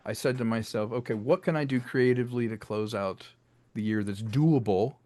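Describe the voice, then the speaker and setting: A man with a mid-pitched voice speaks in a dry, close sound. He is an interviewed creative who explains his craft conversationally, in an expansive and reflective storyteller's manner. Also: normal speed, mellow, dry recording. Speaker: filmmaker and audio drama writer being interviewed.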